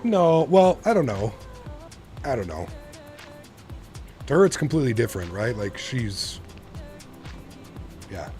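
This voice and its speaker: A man in his thirties chats casually as a live streamer. His manner is laid-back and musing, with a slightly strained, sore-throated voice at an average pitch. Faint music plays behind him. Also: very animated, unhurried, pauses often, dark tone. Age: 30s. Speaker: flight simulator live streamer. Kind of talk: casual chat with stream viewers.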